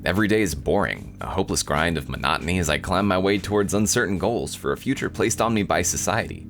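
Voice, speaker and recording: A man's voice: deep voice, lively intonation, fast, a bit bright, faint music behind. Man voicing an angsty, melodramatic anime schoolboy hero. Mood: gloomy, dramatic. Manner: overwrought, self-pitying. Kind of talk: visual novel read-aloud.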